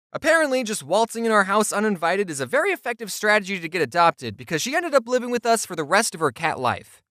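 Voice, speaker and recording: Comedic storyteller for scripted narration. Expressive, wide-ranging intonation, playful with deadpan asides, very dry studio-like sound. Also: medium pitch, no echo. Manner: playful, self-deprecating, with deadpan asides and acted quotes.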